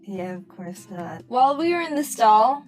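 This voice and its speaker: Young woman, higher-pitched voice, scripted cartoon storytelling with wry, casual delivery, music underneath. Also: moderate pace. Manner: animated, wry comedic asides, casual slang. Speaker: animated storyteller, narrator.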